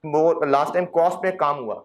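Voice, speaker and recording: Man, slightly high voice, classroom lecture, chatty and coaxing. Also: steady tone, a little fast. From Pakistan. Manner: conversational, coaxing, teasing with mock quotes. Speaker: accounting lecturer. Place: classroom with students present.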